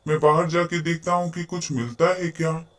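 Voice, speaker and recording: Young cartoon crow, played by a man: slightly high voice, earnest, pleading, caring older brother. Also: level tone, moderate pace, a bit bright, lightly acted. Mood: hungry, pleading. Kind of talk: cartoon moral story acting.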